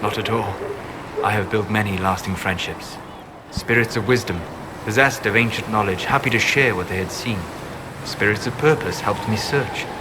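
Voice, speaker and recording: A calm elven scholar-mage from a fantasy game, acted by a man, speaks in a low voice with formal, measured phrasing. He sounds reflective and wistful, over loud background ambience. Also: noisy background, natural voice. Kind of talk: video game dialogue.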